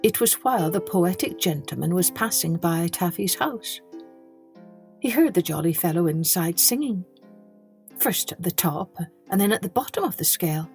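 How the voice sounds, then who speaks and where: A woman storyteller hosting for children, reading scripted tales with expressive, deliberate phrasing. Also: on the low side, faint music behind. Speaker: storytelling podcast host.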